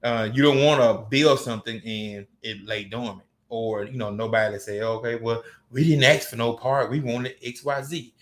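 A man with a Southern US accent talks in a loose, rambling way. His voice sits at a middle pitch and he often restarts his sentences. It sounds like an unhurried podcast conversation. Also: fairly even intonation. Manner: laid-back, rambling with restarts. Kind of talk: casual podcast chat.

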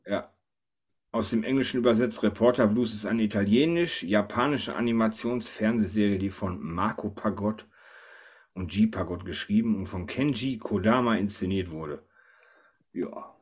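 A man's voice: fairly low pitch, normal speed, a little mellow, slightly rough. A man hosting a casual panel chat, thinking aloud and often tossing questions to the others. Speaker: podcast host.

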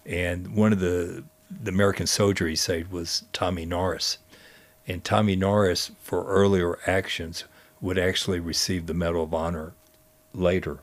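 A man telling stories conversationally as a guest, speaking in a halting way with frequent pauses. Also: deep voice, flat delivery. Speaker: guest storyteller and history teacher. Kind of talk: conversational storytelling.

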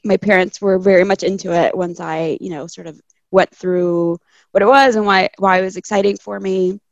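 A woman's voice: medium pitch, creaky, no echo, dull audio. A young woman from Chile, a landscape architect, chatting casually as a guest and laughing often. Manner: chatty, laughs often, quotes people in asides. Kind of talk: casual interview conversation.

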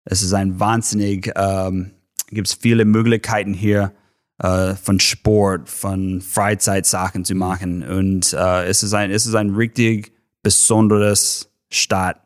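A man from Texas with an American accent, a football coach being interviewed on a podcast, speaking halting German with English words mixed in and many fillers. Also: low-pitched, little pitch movement. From Texas, USA. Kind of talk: casual interview.

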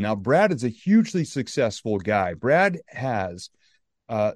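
A man's voice: medium pitch, darkish tone, no room sound, clean studio sound. An American man hosting a podcast, introducing his guest and asking questions in an upbeat, chatty way. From United States. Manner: enthusiastic, chatty. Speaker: podcast host.

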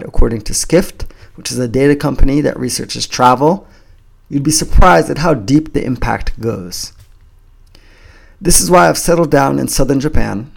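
An American man speaks in a measured, earnest way, pitching his program as if reading a prepared script. His voice is heavily gravelly with a lot of vocal fry, and his pitch sits at an ordinary middle for a man. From United States. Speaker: community founder pitching a program. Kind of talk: scripted promotional talk to camera. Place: home in a Japanese coastal town.

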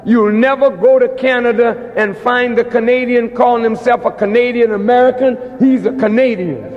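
A man giving a public lecture like a preacher, deliberate and emphatic, with repeated phrases and rhetorical questions. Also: extremely high pitch. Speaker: preacher and orator. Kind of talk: public lecture, oratory to an audience. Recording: dull, reverberant hall recording.

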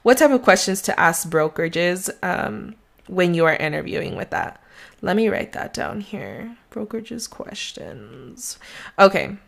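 A woman's voice: lowish voice, big pitch swings, measured pace, creaky. A young adult woman with a slight Mexican accent, a real estate agent giving rambling, conversational advice on her solo podcast.

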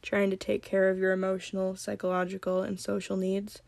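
A young woman with a slight cold hosting a solo podcast, talking calmly and gently. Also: medium pitch, fairly quick, creaky, dry recording. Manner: calm, gentle, reflective. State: has a cold. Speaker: podcast host. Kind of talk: solo podcast talk on mental health.

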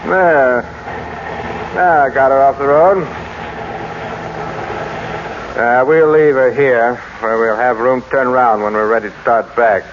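A man's voice: medium pitch, fairly even intonation, slow pace, long pauses, lightly acted. An actor playing a cold, curt, scheming businessman husband in an old-time radio drama. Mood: smooth, quietly menacing. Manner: curt, commanding. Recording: muffled, noisy old radio recording.

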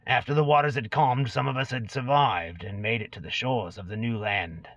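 A man's voice: medium pitch, fairly even intonation, normal speed, pitched above the performer's own voice. A man voicing an ancient, gaunt, cursed goblin, a brooding and unrepentant former killer, in a dramatic reading of a fantasy tale. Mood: solemn, reminiscing. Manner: brooding storyteller recounting dark deeds. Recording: dull lo-fi recording in echoey room.

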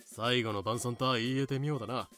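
Overseer, male, mid pitch, blunt masculine speech, gruffly caring, acted by a man. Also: steady tone, brisk, a bit bright, soft background music, close to an everyday voice. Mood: dry. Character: rough plantation overseer, gruffly caring, deferential to his mistress. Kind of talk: fan audio drama acting.

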